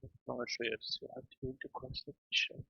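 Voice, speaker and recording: Tired, gravelly male streamer. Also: medium pitch, big pitch swings, fast talker, bright, forceful tone, highs cut off. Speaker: game streamer. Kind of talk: casual livestream chat.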